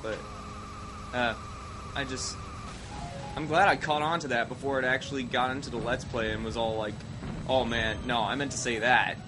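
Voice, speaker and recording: A man's voice: mid-range voice, steady tone, moderate pace, long pauses. A young man with a Midwestern American accent, sick and coughing, rambling through casual gameplay commentary with self-mocking asides. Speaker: let's play host. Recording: game music playing loud underneath. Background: game music.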